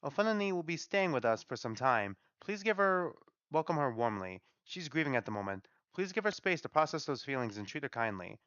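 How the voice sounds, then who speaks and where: A man acts out a formal, motherly godmother of the dead from a story. The voice is smooth and soothing, with expressive pitch and a dry sound. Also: a little fast, no room sound, lo-fi sound. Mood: formal, gentle. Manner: courteous, soothing, ceremonious. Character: gentle, formal, motherly female keeper of the dead. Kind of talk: dramatic read-aloud of a story.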